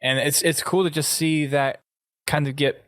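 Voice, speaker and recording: A man's voice: fairly high pitch, fairly even intonation, normal speed, a bit bright, no echo, clean studio sound. A man from the United States hosting a casual gaming podcast in a conversational way. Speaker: podcast host.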